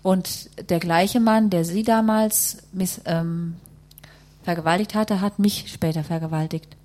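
A woman's voice: on the low side, fairly even intonation, unhurried, darkish tone. A woman working as a live interpreter, rendering a testimony phrase by phrase and rewording as she goes.

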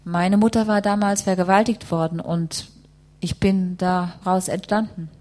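A woman's voice: on the low side, steady tone, measured pace, a little mellow. A woman working as a live interpreter, rendering a testimony phrase by phrase and rewording as she goes.

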